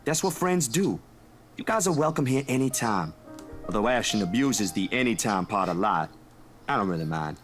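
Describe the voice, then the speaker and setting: A man's voice: on the low side, lively intonation, normal speed, faint music behind, lightly acted. An actor playing an easygoing, kindly young man in a visual novel game. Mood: reassuring. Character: Zach, easygoing, kindly young gentleman friend.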